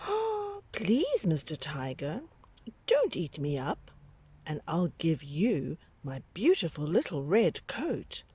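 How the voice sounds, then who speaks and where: A woman's voice: low voice, huge pitch swings, slow, dark tone, husky, full character voice. A woman voicing a meek, polite little boy who pleads and bargains in a sing-song way, in a children's storybook. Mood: pleading.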